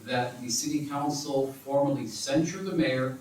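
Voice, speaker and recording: A man's voice: mid-range voice, moderate pace, darkish tone, a little hiss or hum. A man giving a careful, formal account at a city council meeting, speaking in hedged, lawyerly phrases. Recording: echoey council chamber, distant mic.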